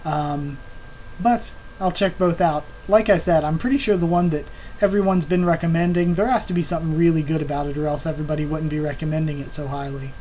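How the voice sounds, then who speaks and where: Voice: medium pitch, fairly even intonation, brisk, darkish tone, rough recording. Young host, home desk, casual rambling chat, fan hum underneath. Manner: rambling, with many ums and uhs. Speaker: anime video channel host. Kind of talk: casual season preview chat. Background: computer fan hum.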